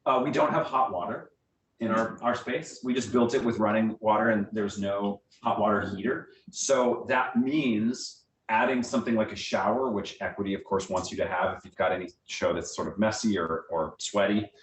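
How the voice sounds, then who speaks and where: An American man with a fairly low voice speaks conversationally as a guest at a meeting, explaining things in everyday terms. He sounds distant from the mic in an echoey room, with faint noise underneath. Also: moderate pace. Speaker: guest speaker, theatre director. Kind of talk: informal question-and-answer talk to a small meeting.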